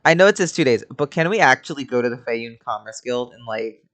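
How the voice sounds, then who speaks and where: A woman's voice: very deep voice, animated, brisk, fairly bright tone. A woman giving casual, sweary reaction commentary while playing a video game. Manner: informal, sweary, reacts aloud. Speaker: game streamer. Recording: game music behind the voice.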